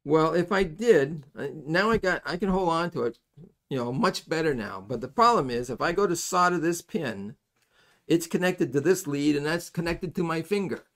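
A middle-aged man giving a casual hands-on soldering tutorial, folksy and halting as he thinks aloud. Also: fairly high pitch, expressive, fairly bright tone. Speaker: electronics soldering instructor. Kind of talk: hands-on tutorial, explaining casually while working. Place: indoors at a workbench.

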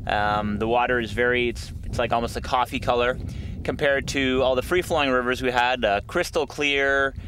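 A man in his thirties talking unscripted to a camera outdoors on a canoe trip, with a halting delivery. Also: mid-range voice, steady tone, a bit bright. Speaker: expedition filmmaker and presenter. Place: outdoors by a wild river and trail. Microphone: handheld camera at arm's length. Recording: noisy outdoor camera audio with ambient sound.

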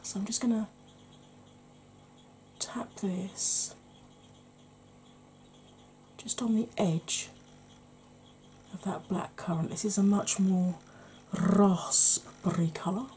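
A middle-aged woman with a Welsh accent giving an ASMR makeup tutorial at home, talking softly and often in a whisper, chatty with a dry sarcastic streak. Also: medium pitch, slow pace, long pauses. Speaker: beauty YouTuber. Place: indoors at home, face in close-up.

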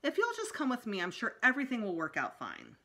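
A woman's voice: fairly high pitch, wildly swooping pitch, fast talker, sharp tone, pitched above the performer's own voice, exaggerated voice. Woman playing a kindly, lilting dental nurse. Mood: reassuring.

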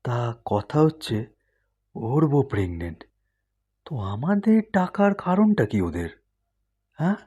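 A man's voice: fairly high pitch, wildly swooping pitch, unhurried, pauses often, dark tone, breathy edge, dull audio, heavily acted. A man voicing a gossipy, cagey cartoon friend who mutters conspiratorially. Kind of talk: comic cartoon dialogue.

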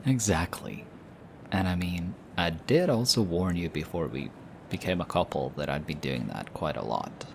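A young man voicing an affectionate, teasing boyfriend in an audio roleplay, speaking softly and hushed. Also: sits low, normal speed, gravelly. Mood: tender, teasing. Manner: soft, hushed, teasing with light laughs. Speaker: voice actor. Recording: steady ambient background noise. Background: busy airport ambience.

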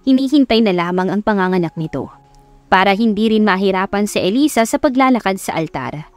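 Woman, Filipino accent, scripted dramatic narration, audiobook storyteller, medium pitch, background music. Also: lively intonation, a little fast.

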